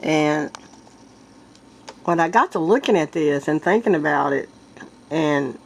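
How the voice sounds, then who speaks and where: A woman with a congested, sick-sounding voice talks to her viewers in a casual vlog. She speaks earnestly in halting bursts, often starting over. She clears her throat and coughs again and again. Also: sits low, lively intonation, a little hiss or hum, low-quality recording. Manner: earnest, halting, with many restarts. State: congested, stuffed-up nose from a sinus illness. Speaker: vlogger.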